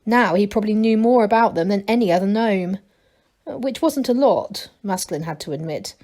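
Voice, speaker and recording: A woman reading a children's story aloud as a teacher would, in expressive narration. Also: medium pitch, moderate pace.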